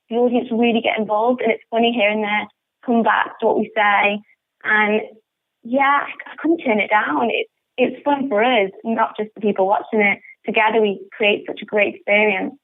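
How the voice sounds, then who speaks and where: A woman's voice: medium pitch, fairly even intonation, normal speed. A young woman with a northern English accent, chatting enthusiastically in a radio interview over a phone line. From northern England. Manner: enthusiastic, upbeat. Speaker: interviewed pantomime actress. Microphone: telephone. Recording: muffled, tinny phone line.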